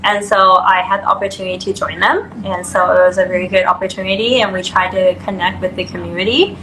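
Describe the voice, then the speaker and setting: A woman's voice: medium pitch, steady tone, fast, sharp tone. A young woman with an American accent, chatting casually as an interview guest. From grew up in America. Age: young adult. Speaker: pageant contestant being interviewed. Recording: roomy echo, clear background noise.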